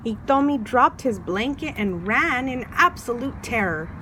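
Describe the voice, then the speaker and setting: A woman reading a children's folktale aloud in an expressive storytelling way, putting questions to the listener. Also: on the high side, unhurried, background noise. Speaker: storybook reader, a mother.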